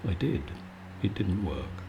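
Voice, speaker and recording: A man giving a calm, soothing, conversational bedtime chat in a relaxed ASMR style. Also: low voice, takes it slowly, gravelly, muffled recording, a little hiss or hum. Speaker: video maker and host.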